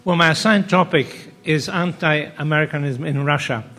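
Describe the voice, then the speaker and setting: An older man, born and raised in Poland, giving a measured academic talk on a panel, pausing often and restarting phrases. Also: fairly high pitch, pushed and bright. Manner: measured, with frequent pauses and restarts. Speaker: panel speaker. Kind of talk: academic lecture. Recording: reverberant hall.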